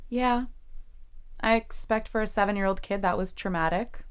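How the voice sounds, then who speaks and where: An actress plays a troubled young woman in an audio drama. She is a student, exhausted and defensive, with an average-pitched voice. She speaks in a guarded, bitter, curt way. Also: normal speed, clear, resonant tone, natural voice. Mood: bitter, hurt. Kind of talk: full-cast audio drama acting.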